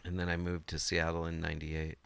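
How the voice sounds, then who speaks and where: Gravelly man, 40s, from washington state, rambling, low flat delivery. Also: a little fast. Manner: rambling, searching for words, many fillers. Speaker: guitarist, interview guest. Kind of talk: casual interview chat.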